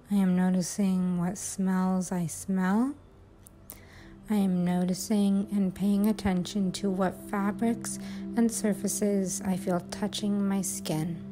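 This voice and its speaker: Slow, mellow woman guiding sleep hypnosis. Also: medium pitch. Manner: soothing, unhurried, measured phrasing. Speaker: hypnosis and meditation guide. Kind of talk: guided sleep hypnosis, affirmations. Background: music.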